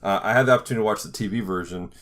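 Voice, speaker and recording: A man co-hosting a podcast, explaining things in a halting, conversational way as part of a casual discussion. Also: on the low side, a bit bright. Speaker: podcast co-host.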